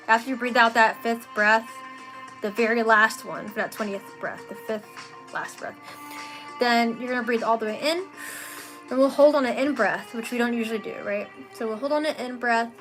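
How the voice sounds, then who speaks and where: A young woman from Philadelphia talks in a slightly raised voice, her Philly accent plain as she cheerfully walks a live class through breathing counts. She laughs easily and keeps the tone chatty. Music plays clearly behind her. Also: fairly high pitch, fairly bright tone, poor audio. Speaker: meditation and breathwork teacher. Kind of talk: live guided breathwork instruction. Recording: music playing under the voice.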